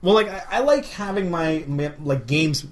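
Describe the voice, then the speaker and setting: A man's voice: a little high, animated, moderate pace. A man chatting casually as a podcast co-host, joking and wandering into informal asides. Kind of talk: casual chat.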